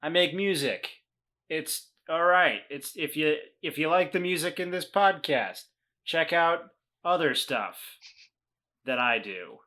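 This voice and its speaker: A man with a bright, slightly high voice who speaks slowly and haltingly. He plugs his own work in an offhand, self-deprecating way. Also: expressive. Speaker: player and musician. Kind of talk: casual podcast chat.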